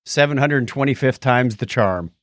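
A man's voice: mid-range voice, normal speed, no room sound, studio quality. An American man co-hosting a podcast, chatting casually in a playful, joking way. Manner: jokey, playful. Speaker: podcast co-host. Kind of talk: casual comedic chat.

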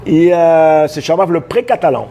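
A man in his sixties from France, a chef speaking Portuguese with a French accent, telling stories in a relaxed interview with many fillers. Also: fairly high pitch, normal speed, dull audio, slight background noise. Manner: storytelling, hesitant with many fillers, laughs easily. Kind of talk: casual interview talk.

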